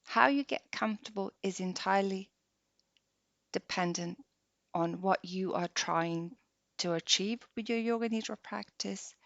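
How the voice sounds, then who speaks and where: A woman guiding a meditation practice softly and unhurriedly, leaving long pauses between short phrases. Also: medium pitch, vocal fry, no echo. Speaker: yoga nidra meditation guide. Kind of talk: slow guided meditation. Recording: dull lo-fi close dry recording.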